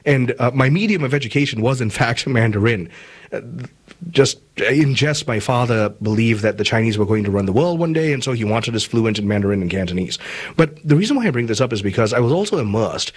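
A man from Malaysia hosts a talk show, his voice a little husky. He speaks in a measured, deliberate way, sometimes restarting his sentences. His pitch sits in a middle range. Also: animated. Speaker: host. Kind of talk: commentary and interview.

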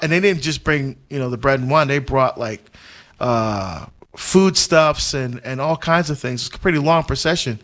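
Animated Barbados-born deacon, higher voice. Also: male voice. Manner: animated storyteller, many fillers and restarts, frequent laughs. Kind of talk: casual conversational chat.

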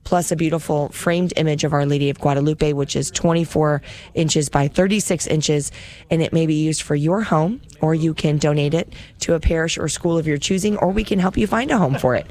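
A woman's voice: sits low, brisk, a little mellow. A woman hosting a radio pledge drive, chatty and upbeat, listing gifts and repeating the phone number. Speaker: radio fundraiser host.

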